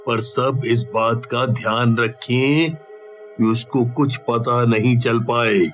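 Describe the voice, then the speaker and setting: An actor playing a calm, authoritative, cautious village chief in a horror story. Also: male voice, mid-range voice, takes it slowly, soft background music, lightly acted. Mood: grave. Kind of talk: animated horror story dialogue.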